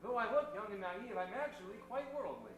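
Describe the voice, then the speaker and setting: Earnest boy, played by a man: courteous, lively swinging pitch, old-time radio drama with music behind. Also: a little fast. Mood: polite, helpful. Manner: earnest, polite. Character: earnest young boy Jimmy, secretly a hero. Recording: very echoey room, music behind.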